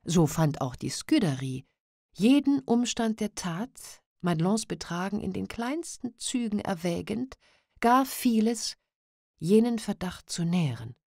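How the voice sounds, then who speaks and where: A woman narrating a classic novella as an audiobook, in a measured, unhurried storytelling manner. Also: mid-range voice, expressive, mellow, dry recording, clean studio sound.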